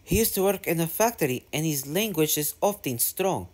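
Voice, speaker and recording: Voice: medium pitch, lively intonation, moderate pace, a little mellow, voice pushed up, lightly acted. A narrator voicing Peter Sam, an eager, polite young male engine who chats on and on. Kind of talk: storybook reading.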